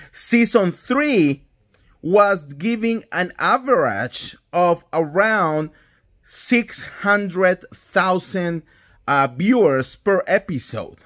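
Colombian-accented man, thirties, vlogger, fired-up and swearing, slightly high voice, phone close in a small room. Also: a bit bright. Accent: Colombian Spanish. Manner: indignant, emphatic, swears freely. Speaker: pop culture vlogger. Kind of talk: ranting reality TV commentary. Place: indoors at home, small room. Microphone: phone held close at arm's length.

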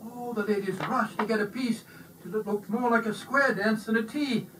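A man narrating a children's storybook aloud in an animated, performed style. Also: high voice, normal speed. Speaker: storybook reader. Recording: distant mic with faint noise.

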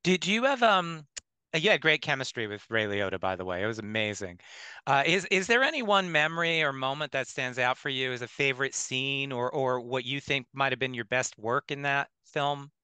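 A man's voice: medium pitch, lively intonation, moderate pace, pushed and bright, smooth, no echo. A man interviewing a guest over a video call on a headset, asking friendly questions with fillers.

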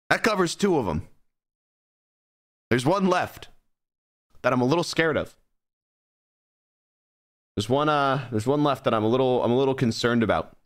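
A man's voice: on the high side, fairly bright tone. A young man streaming a horror game, giggling and making wry comments back at the screen.

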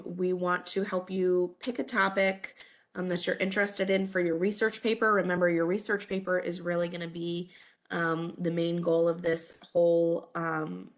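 A woman in her thirties giving a recorded college English lecture over webcam, stuffy from a cold, friendly and full of "um" fillers. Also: on the low side. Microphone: webcam over slides.

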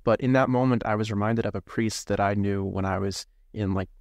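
Thoughtful young man, low dry voice. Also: steady tone, a little fast, a little mellow, no echo. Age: 20s. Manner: thoughtful, hesitant, searching for words. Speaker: seminarian guest. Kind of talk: reflective interview talk.

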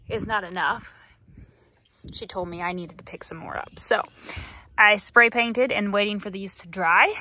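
A woman's voice: on the high side, pushed and bright. Chatty woman farmer vlogging outdoors. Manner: chatty, rambling, down-to-earth. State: sore and tired. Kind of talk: casual vlog chat to camera. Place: outdoors on a farm, by fields and a cattle barn. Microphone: phone held at arm's length.

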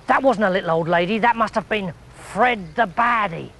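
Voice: mid-range voice, normal speed, creaky, a bit bright. A storyteller voicing Fat Tulip, a timid man in a children's story, calling out urgently. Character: anxious timid male householder.

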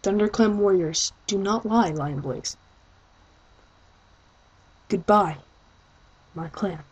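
A woman's voice: mid-range voice, slow pace, slightly rough, rough recording. A woman voicing a grown-up, restrained male leader cat, grave in manner, for an amateur audition.

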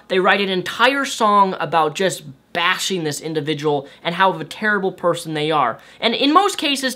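Young man with a light, high voice ranting. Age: young adult. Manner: animated, exasperated, waves hands. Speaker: video host. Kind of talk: ranting commentary vlog. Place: bedroom with shelves of toys and games.

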